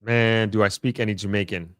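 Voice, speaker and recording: A man with a fairly low voice talks casually as a livestream host. He sounds tired and thinks aloud in a halting, informal way. The sound is dry, with very little room echo. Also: fairly even intonation, smooth. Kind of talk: casual livestream chat, reading out viewer comments.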